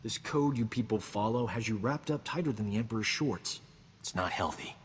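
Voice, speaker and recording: An actor playing a boastful, smooth-talking combat medic in a video game, joking and flattering himself. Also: male voice, fairly low pitch, normal speed, big-room sound, slight background noise, close to an everyday voice. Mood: cocky. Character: cocky boastful man, a frontier combat medic. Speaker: companion character in a video game. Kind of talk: video game character dialogue.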